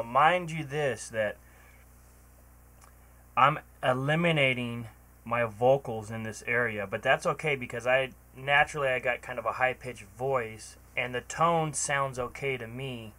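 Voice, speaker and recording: A man's voice: medium pitch, moderate pace, bright, forceful tone. A man casually walking through a home recording tutorial on a cheap microphone in a home room, rambling with ums and restarts. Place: home room with computer running and an open door.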